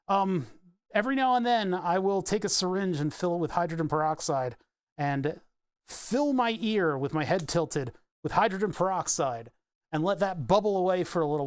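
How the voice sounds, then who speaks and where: A man's voice: on the high side, no echo. Man, casual stream chat, rambling, talking to chat while he works, dry close sound. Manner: rambling and chatty, answers chat, breaks into laughs. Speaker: electronics streamer.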